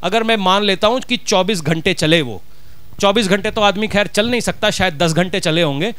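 A middle-aged pastor with a Punjabi accent preaches into a handheld mic, his voice high-pitched and smooth. He presses his points with rhetorical questions and drops Punjabi words into the sermon. The sound is close and dry, with little room around it. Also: moderate pace, fairly bright tone, dry recording. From Punjab, India. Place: church stage, indoors.

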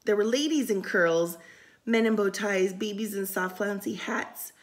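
Woman, storyteller, picture book read-aloud, expressive rhythmic delivery, casual asides, medium pitch. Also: measured pace. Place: indoors, room by a window.

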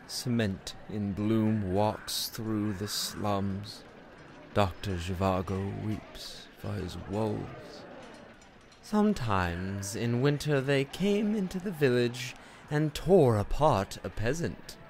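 Radio drama: actor as disturbed, brooding man, unhurried and highly inflected, faint ambient noise. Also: lively intonation, a little mellow. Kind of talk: radio play monologue.

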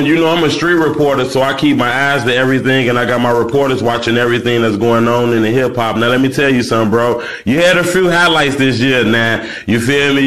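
A man's voice: mid-range voice, a bit bright. A man working as a street reporter, asking easygoing questions and calling his guest "bro" throughout. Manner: laid-back, keeps saying "right" and "bro". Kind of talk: casual street interview.

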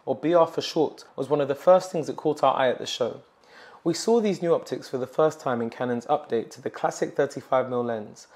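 A young man presenting a tech expo report, reading scripted narration in a measured way. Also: mid-range voice, darkish tone. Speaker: presenter for a photo retailer. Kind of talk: scripted tech show voice-over. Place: large indoor expo hall. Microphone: lapel mic.

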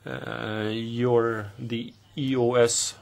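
A man interpreting formal committee questions live, speaking in halting bursts with pauses mid-phrase. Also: medium pitch, little pitch movement, vocal fry. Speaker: simultaneous interpreter.

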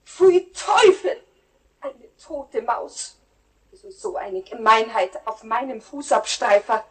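Shrill outraged mom in an old radio play, very high voice, bit of record noise. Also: female voice, sing-song, takes it slowly. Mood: horrified. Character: easily shocked, indignant mother.

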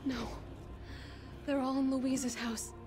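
A woman's voice: high-pitched, level tone, slow, music in the background. An actress playing a frightened young village woman who pleads urgently for her wounded father. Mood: worried. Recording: game cutscene with noisy ambience.

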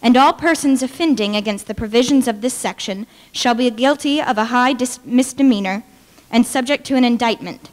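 A young woman giving a measured, formal dramatic reading of an old legal document at a podium microphone. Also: fairly high pitch. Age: young adult. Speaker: dramatic reader. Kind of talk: formal read-aloud of historical legal text. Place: indoor hall with brick walls, small audience. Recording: reverberant hall.

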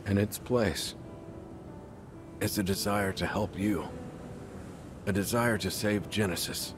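A slow, low-voiced, remorseful ex-director. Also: male voice, over music. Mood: reflective, earnest. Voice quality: low, measured. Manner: grave, reflective, deliberate. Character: weary, remorseful former director turned into a clone, gently resolute. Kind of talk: dramatic video game voice acting.

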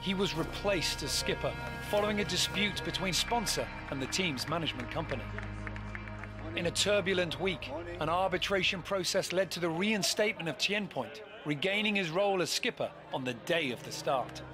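A man reading scripted sailing news narration in an even, measured way. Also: on the high side. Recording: voice-over with music behind.

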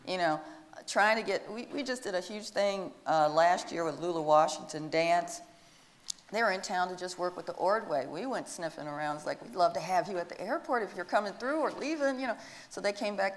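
An eager woman with a low, full voice who promotes an arts program on a panel stage. Her voice echoes with the long reverb of a large hall. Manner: enthusiastic, promotional. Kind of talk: panel discussion.

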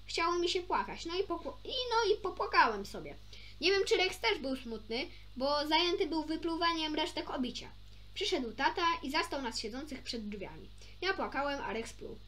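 A young woman with a high voice reads a children's story aloud. Her intonation moves a lot and her delivery is informal. She stumbles a little and slips into chatty asides. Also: big pitch swings, moderate pace, a bit bright. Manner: informal, stumbles over words and self-corrects. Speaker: amateur storybook reader.

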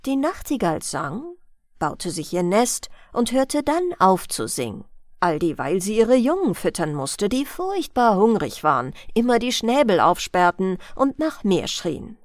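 A woman narrating a fairy tale as a bedtime audiobook, reading gently and expressively into a close mic. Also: on the high side, very animated, takes it slowly, no echo.

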